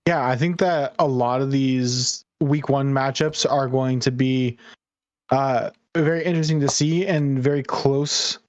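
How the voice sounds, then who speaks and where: A man's voice: medium pitch, fairly even intonation, unhurried, dry recording. A man co-hosting a podcast with casual analysis, rambling and repeating words as he works through his thoughts. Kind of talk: casual esports discussion.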